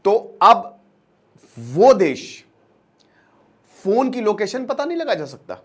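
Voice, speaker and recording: A man's voice: high voice, very animated, slow pace, slightly rough. A man presenting an informal explainer lecture as an online educator, telling it like a colloquial storyteller with emphatic repetition and rhetorical questions. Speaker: online educator and channel host.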